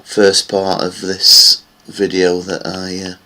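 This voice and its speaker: A man's voice: deep voice, monotone, takes it slowly, bright, forceful tone, low-quality recording. A man giving casual, rambling commentary on silver price charts, with many fillers. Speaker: market analysis vlogger.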